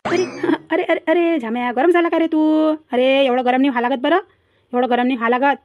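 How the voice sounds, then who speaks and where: A woman's voice: high-pitched, fairly even intonation, brisk, dull audio, slight background noise, natural voice. A woman voicing a mischievous, boastful village boy in a cartoon comedy, chattering and teasing in a Varhadi Marathi accent.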